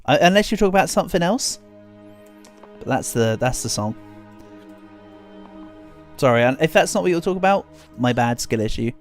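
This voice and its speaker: A man's voice: on the high side, animated, moderate pace, long pauses, soft background music. A man streaming a video game, chatting casually and rambling, sounding a bit congested with a cold coming on. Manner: chatty, rambling, self-deprecating. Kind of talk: casual game livestream chat.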